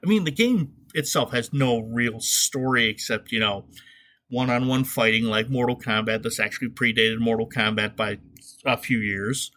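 Guy on a comedy podcast, mid pitch, casual snarky irreverent movie rant. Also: moderate pace, bright, forceful tone. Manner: sarcastic, irreverent. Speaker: podcast host.

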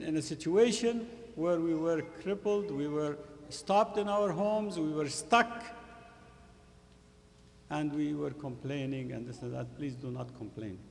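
A middle-aged man giving an earnest Friday sermon in a mosque, speaking in short, emphatic, halting phrases with repetition. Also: on the high side, creaky, darkish tone. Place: mosque prayer hall, indoors. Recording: long hall reverb from room speakers.

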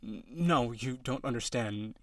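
A man's voice: mid-range voice, unhurried, fairly bright tone, dry recording, clean studio sound, close to an everyday voice. A man voicing an eager, awkward, insecure young male harpy in an audio roleplay, talking to the listener in an earnest, rambling, nervous way. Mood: dejected confession.

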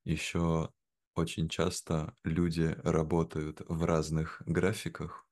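A young man speaking in a measured, careful way, as a guest in a slow conversation for language learners. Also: a bass voice, completely flat delivery, darkish tone. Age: young adult. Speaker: interview guest. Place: indoor room at home, video call. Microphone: video call, wearing headphones.

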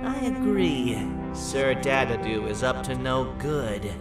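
A man's voice: mid-range voice, expressive, slow. A man voicing an eccentric, theatrical monster jester in a video game, hungry for an audience. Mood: wary. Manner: theatrical, exclamatory, swooping delivery. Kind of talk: video game character acting. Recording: echoey, distant, loud music behind.

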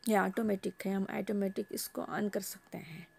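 A woman's voice: medium pitch, steady tone, normal speed, vocal fry, darkish tone, slightly rough. A woman vlogger casually narrating an unboxing at home, explaining each step in a homely way. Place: home, table top.

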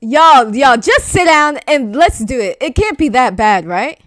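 A woman's voice: high-pitched, very animated, measured pace, a bit bright, dry recording, heavily acted. A young woman playing an exasperated, bossy hostess in a slangy improvised game roleplay. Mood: organizing. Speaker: channel host and roleplayer.

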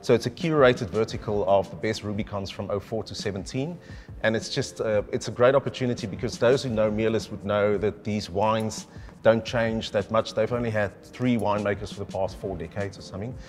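Lowish voiced man, keen and explaining stuff, chatty wine guy, faint music under. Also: brisk. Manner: enthusiastic and explanatory. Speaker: wine specialist. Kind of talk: conversational presentation. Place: decorated indoor room with a laid table, standing.

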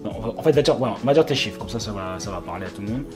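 A man's voice: fairly low pitch, expressive, very fast, music in the background. A man in his thirties hosting an interview, asking loose, rambling questions and often answering with short "d'accord" and "ouais". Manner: friendly, many short acknowledgments, rambling questions. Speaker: interviewer, host. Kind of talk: casual interview chat. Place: bright living room, indoors, on a sofa.

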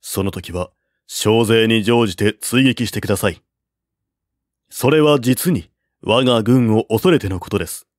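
Man doing a cautious male strategist, lowered voice, quiet and measured, storybook reading. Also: no echo, clean studio sound, natural voice. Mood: calm counsel. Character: calm shrewd male strategist, cautious adviser.

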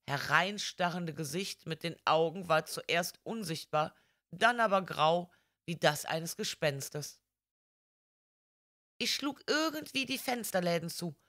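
A woman narrates a classic crime story in a medium-pitched voice. She reads from a script as an audiobook narrator, with measured, expressive delivery.

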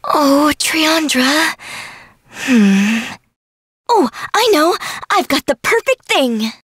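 High-voiced, bubbly young fairy girl. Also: big pitch swings, slow, no room sound, studio quality, exaggerated voice. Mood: earnest pleading. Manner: bubbly, earnest, affectionate. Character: sweet, cheerful young dream fairy girl. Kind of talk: English fantasy game voice acting.

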